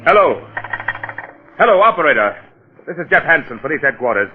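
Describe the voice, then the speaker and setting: An actor playing an edgy police detective in a 1940s radio drama, brisk, clipped and commanding. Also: male voice, fairly high pitch, very animated, faint music behind. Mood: urgent. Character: urgent, edgy male police detective taking charge. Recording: muffled old-time radio recording.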